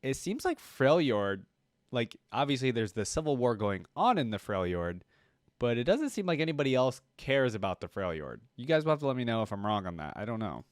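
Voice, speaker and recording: A man's voice: medium pitch, sing-song, brisk, no echo. A man hosting a casual reaction video, chatty and musing as he thinks aloud.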